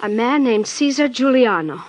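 Radio mystery client: American woman, fairly high pitch, demure, uneasy, guarded. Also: lively intonation, moderate pace. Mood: worried. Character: anxious, evasive young female client in trouble. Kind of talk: 1940s radio detective drama acting.

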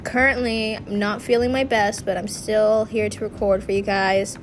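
A young woman hosting a personal podcast, her voice a little raspy from feeling unwell, talking candidly and rambling with restarts. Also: medium pitch, flat delivery, moderate pace, slight background noise. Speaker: podcast host. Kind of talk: casual personal podcast monologue.

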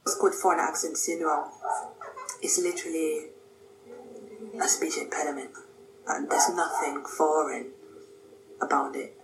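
A woman interviewed in a news clip, talking slowly and carefully, some words almost whispered. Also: deep voice, fairly even intonation, reverberant. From England. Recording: played TV clip with faint noise.

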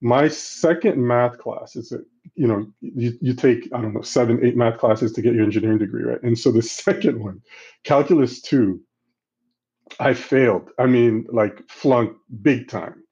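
A middle-aged man who grew up in Central Africa, answering interview questions in a halting, reflective way with many restarts. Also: mid-range voice, a little mellow, husky. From Congo, Central Africa. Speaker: guest. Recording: slightly dull, lo-fi sound.

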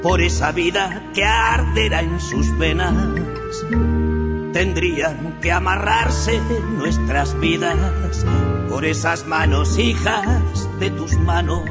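A man reciting poetry in a solemn, measured manner. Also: on the high side. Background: loud music.

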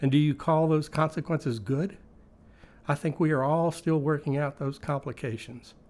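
Devotional reader, male, pitched a little high. Reflective scripted delivery that poses questions to the listener. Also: moderate pace, a little mellow. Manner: reflective, posing rhetorical questions.

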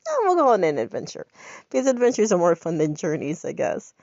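A woman's voice: fairly low pitch, huge pitch swings, a little fast, a little mellow, no echo, highs cut off. A woman, congested from a head cold, chatting in a rambling, upbeat way as a podcast host. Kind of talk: casual personal chat.

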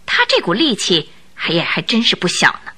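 A woman's voice: medium pitch, wildly swooping pitch, fairly quick, pushed and bright. A woman narrating a radio drama in a dramatic, scripted storytelling style.

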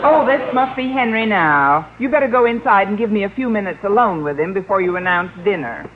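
Noisy old radio sitcom, woman playing sharp-tongued lady teacher, sarcastic, voice swings around a lot, crowd behind her. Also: medium pitch, expressive, fairly quick, phone-quality sound, natural voice. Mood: wry, playful. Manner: dry, sarcastic wisecracks. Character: wry, sharp-tongued single woman schoolteacher. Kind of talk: 1950s radio sitcom acting.

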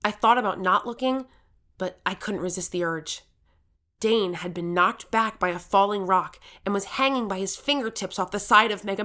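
A woman's voice: on the high side, sharp tone. A woman playing an earnest, determined thirteen-year-old contestant who narrates their own story in an audio drama. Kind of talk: scripted first-person audio drama narration.

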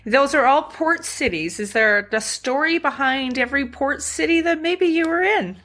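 A woman's voice: sits high, normal speed, a bit bright. A woman from Canada hosting an interview, asking questions and affirming her guest's answers with enthusiasm. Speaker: interview host.

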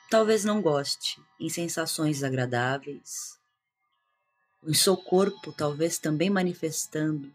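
A Brazilian woman guiding a meditation in a calm, hushed manner with long pauses. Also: on the low side, slightly rough. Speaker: meditation guide, integrative therapist. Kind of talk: guided meditation. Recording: music behind the voice. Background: meditation music.

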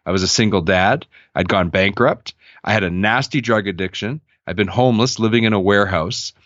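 Thirties male guest, earnest storyteller with a low voice and a dull, dry remote sound. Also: fairly quick, dry recording. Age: 30s. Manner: earnest, reflective, animated when quoting others. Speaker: podcast guest. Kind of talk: candid personal storytelling.

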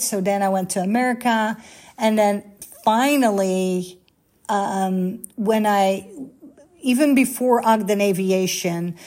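A woman's voice: medium pitch, a little mellow. A middle-aged woman from the Netherlands with a Dutch accent, telling stories about her own career in a rambling, chatty way with lots of asides and self-corrections. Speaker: podcast host, former flight attendant. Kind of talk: casual storytelling.

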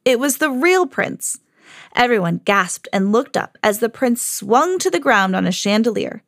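A mother hosting her own podcast, reading a children's storybook aloud with lively jumps for the quoted lines. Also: a little high, unhurried, no echo, clean studio sound. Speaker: mom reading to her kids, podcast host.

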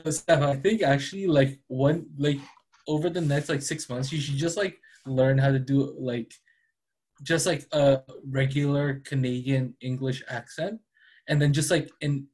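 A man's voice: mid-range voice, steady tone, measured pace, a little mellow, breathy edge. A man chatting casually as a podcast guest, laid-back and full of "like". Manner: laid-back, heavy use of "like". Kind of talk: casual chat.

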